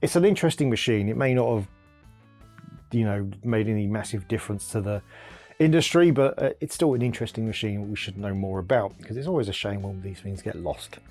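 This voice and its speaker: Lower-voiced man chatting about old machines. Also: animated, a little fast, darkish tone, faint music behind. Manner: thinks aloud, earnest, restarts and hedges often. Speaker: retro computer video host. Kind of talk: casual explainer talk to camera.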